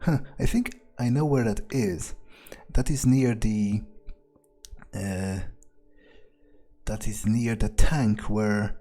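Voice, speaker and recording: A man thinking aloud in character during a video game playthrough, halting and matter-of-fact, with casual swearing. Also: on the low side, fairly even intonation, mellow. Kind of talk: in-character musing aloud, thinking through events.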